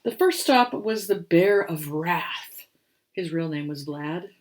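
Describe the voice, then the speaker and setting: A woman reading a rhyming picture book aloud as storyteller and host, with expressive, theatrical delivery. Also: lowish voice, takes it slowly.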